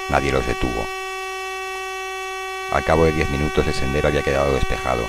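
A man's voice: a bass voice, creaky, heavy background noise. A man giving a dramatized literary narration for radio. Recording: prominent music bed under the voice. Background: loud music.

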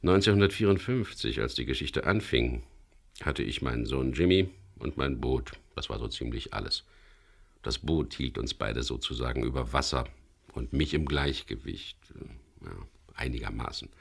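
An actor playing a wry, world-weary middle-aged English skipper who narrates a radio crime drama in a gravelly, laconic voice. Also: male voice, very deep voice, animated, moderate pace, heavily acted. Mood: wry, reflective. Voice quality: gravelly, husky. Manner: laconic, dry, ironic.

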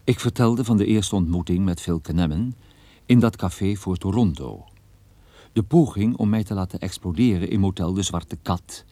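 An actor playing a private detective who narrates his own case in a measured first-person radio drama style. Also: deep voice, dark tone, no room sound. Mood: measured, recounting. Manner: measured, recounting.

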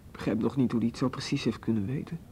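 A man's voice: medium pitch, fairly even intonation, very fast, dark tone, husky. An actor in a radio play voicing a remorseful young male student who stammers through a tearful confession. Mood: subdued. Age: young adult. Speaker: student questioned by police.

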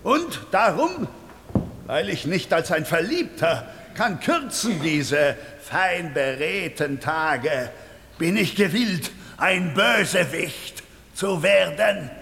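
An actor playing a brooding, scheming villain in a classical stage monologue, declaiming grandly and deliberately. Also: male voice, a little high, animated, gravelly, full character voice. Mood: bitter resolve. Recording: long hall reverb, faint noise.

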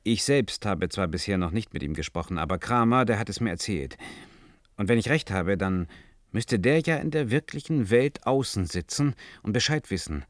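Actor as low-voiced, earnest male professor. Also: animated, normal speed, close to an everyday voice. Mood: insistent, reasoning. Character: male professor and scientist, earnest, analytical, insistent. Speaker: radio drama actor. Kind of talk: German science fiction radio play acting.

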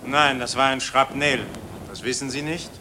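An actor playing a weary, curt army lieutenant at the front in a radio drama, speaking tersely and matter-of-factly. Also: male voice, medium pitch, fairly even intonation, normal speed, bright, forceful tone, slight background noise. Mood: weary, dry. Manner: terse, dry, matter-of-fact.

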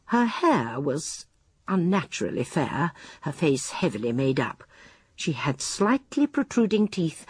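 Genteel old english village lady, radio play, shrewd, husky, very varied intonation. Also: low voice, a little mellow, no echo, heavily acted. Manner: precise, genteel. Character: shrewd, composed elderly English village spinster. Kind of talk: radio drama acting with narration.

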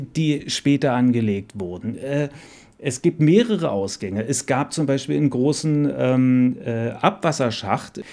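Male interviewee, researcher type: mellow, gravelly, hesitant, unscripted delivery at a middling pitch. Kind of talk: informal interview talk.

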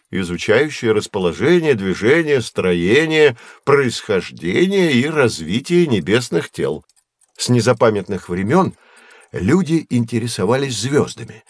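An actor playing a kindly, patient professor who explains science to children in an educational audio play. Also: male voice, mid-range voice, no echo, studio quality. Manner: didactic, carefully phrased, encouraging.

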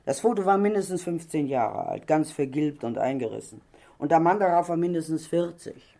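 A gossipy middle-aged landlady in a radio crime drama. Her voice is creaky and swings up and down expressively. She chatters on with self-righteous indignation and sly insinuation. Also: low-pitched, lively intonation, normal speed, mellow. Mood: confiding. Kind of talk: German crime radio play acting.

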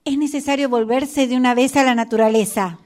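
A woman's voice: sits high, steady tone, brisk, a little mellow, natural voice. A woman reading a resigned tramp who looks for small consolations in an amateur radio play. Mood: resigned, wondering.

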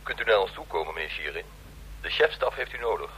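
A formal staff officer in a radio drama. He speaks quickly in a very rough, rather low voice, brisk and official. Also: expressive, a bit bright, exaggerated voice, rough recording. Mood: formal, pressing. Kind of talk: Dutch radio drama dialogue.

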